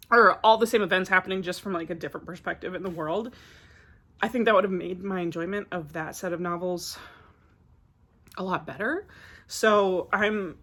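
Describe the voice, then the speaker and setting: A woman's voice: on the low side, creaky, fairly bright tone. A woman getting over a cold, chatting casually about books in a vlog, rambling with many filler words. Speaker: book vlogger. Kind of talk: casual book review vlog.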